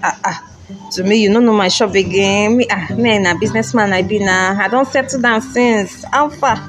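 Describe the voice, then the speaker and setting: A man's voice: sits high, moderate pace, close to an everyday voice. A man plays a jovial Nigerian businessman for an audio drama. He is boastful and generous, teasing as he goes and repeating phrases for emphasis. Music runs behind his voice. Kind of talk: audio drama acting in Pidgin.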